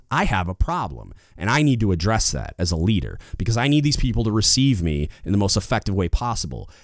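A man with a loud, booming voice giving casual leadership advice as a podcast host, getting louder when fired up. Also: no room sound, studio quality. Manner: gets louder when fired up, rambling restarts.

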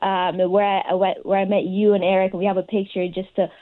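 A woman's voice: mid-range voice, level tone, quick pace, narrow, tinny audio. A young adult woman speaking as an interview guest, giving conversational answers. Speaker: interview guest, sports fellowship participant.

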